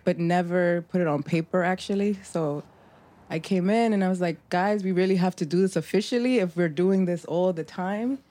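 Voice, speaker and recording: A woman raised in the Bronx, a mutual aid organizer, talking as a guest in a casual interview, relaxed and reflective with lots of "like" and "um". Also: lowish voice, dry recording. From New York, raised in the Bronx.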